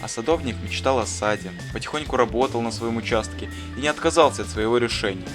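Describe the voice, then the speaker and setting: Man, kindly cartoon teacher, acted, wise, courteous, measured, instructive storytelling, medium pitch, background music. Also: close to an everyday voice. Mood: kindly, reflective. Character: wise, kindly male schoolteacher who loves gardening. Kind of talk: scripted storytelling in an educational cartoon. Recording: music behind the voice.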